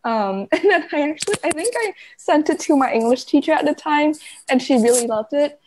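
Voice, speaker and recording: Young woman from Vietnam, podcast guest; higher voice, giggly and animated storyteller. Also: fairly quick, slight background noise. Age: young adult. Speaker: guest, climate journalist. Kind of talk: casual interview chat.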